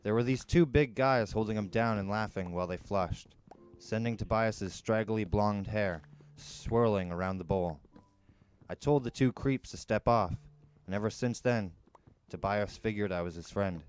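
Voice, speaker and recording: A man's voice: fairly low pitch, normal speed, clean tone. A man narrating a young adult novel as a storyteller, in a conversational first-person manner. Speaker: podcast host and storyteller.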